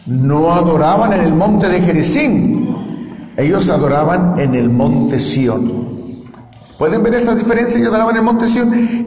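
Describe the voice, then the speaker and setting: A man's voice: high-pitched, darkish tone. A man preaching a sermon, asking the congregation questions and having them repeat lines after him. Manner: asks the congregation to repeat and answer. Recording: echoey hall with long reverb.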